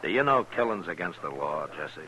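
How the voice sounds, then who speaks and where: An actor playing a steady, watchful marshal in a 1950s radio western, speaking in a dry, laconic way. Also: male voice, low voice, brisk, heavily acted. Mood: calm, dry. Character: steady, watchful frontier town marshal, grown man. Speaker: lead actor. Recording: noisy old radio western recording.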